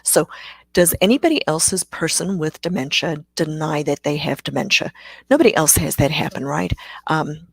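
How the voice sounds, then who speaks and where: Low-voiced woman. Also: normal speed, gravelly, husky, dry recording. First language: Afrikaans. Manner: chatty, self-deprecating, with sarcastic asides. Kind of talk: live-streamed Q&A talk.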